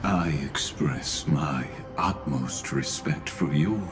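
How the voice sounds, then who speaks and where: An imposing video game villain with dark amusement. The voice is rumbling low and very slow, grandly formal, over music. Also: male voice. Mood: ceremonious. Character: imposing, ceremonious former enemy, darkly amused.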